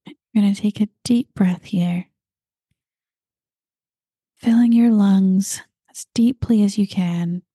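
A woman guiding a meditation, talking slowly and calmly and at times dropping into breathy whispers. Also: medium pitch, dry recording. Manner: slow, soft and calm, drifting into breathy whispers. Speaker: meditation guide. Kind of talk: guided meditation.

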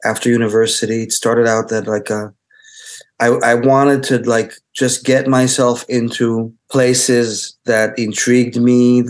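A middle-aged man born in Israel whose first language is Hebrew, speaking as a photographer in an interview. He talks reflectively and haltingly, often restarting and searching for words. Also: medium pitch.

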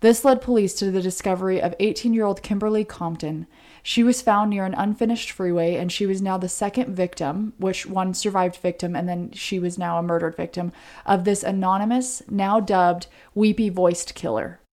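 A woman's voice: mid-range voice, steady tone, moderate pace, a little mellow. A woman co-hosting a podcast, narrating true crime in an informal way with chatty asides. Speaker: podcast co-host.

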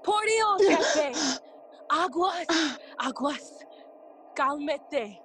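A woman's voice: sits high, animated, drawn-out words, exaggerated voice. A woman playing a prince's loyal, concerned young friend in a bilingual Shakespeare audio drama, earnest and attentive. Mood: alarmed.

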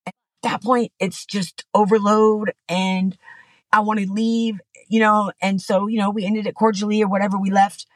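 A woman's voice: medium pitch, little pitch movement, normal speed, no room sound. Middle-aged woman, podcast guest, candid rambling storyteller, dry close recording. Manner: candid, rambling, frequent "you know" and restarts. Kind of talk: personal story in conversation. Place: Texas.

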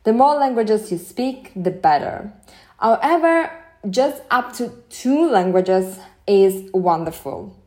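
A woman's voice: mid-range voice, animated, takes it slowly, a little mellow. A young woman with an Italian accent hosting a podcast, giving earnest, chatty career advice with frequent restarts. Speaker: podcast host. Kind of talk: solo podcast advice talk.

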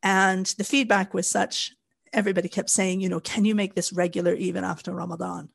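A middle-aged woman from Canada with a Canadian accent, co-hosting a podcast in a measured storytelling way with frequent fillers. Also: on the low side, flat delivery, gravelly, breathy edge. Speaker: podcast co-host. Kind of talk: casual podcast chat.

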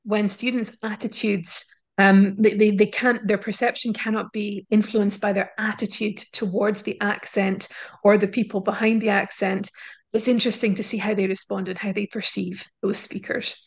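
A woman professor talks through her research findings in an interview. Her pitch sits in the middle range. She explains carefully, pausing with ums and restarting her phrases as she thinks. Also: flat delivery. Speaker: linguistics professor, guest. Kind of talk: academic interview answers. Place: university in Scotland.

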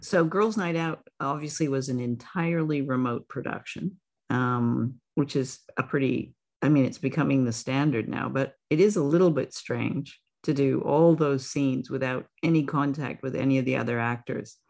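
A woman's voice: very deep voice, moderate pace, darkish tone. A woman interviewing casually as a podcast producer.

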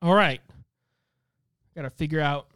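A man with a high, crisp voice co-hosts a casual panel show. He thinks out loud in halting runs and circles back over his phrases. The sound is very dry, with hardly any room on it. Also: no room sound. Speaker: podcast panelist and co-host. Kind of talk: casual chat, making draft picks.

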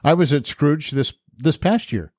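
A man's voice: on the high side, animated, a little slow, a little mellow, dry recording. An American man hosting a podcast in a conversational interview style. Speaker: podcast host.

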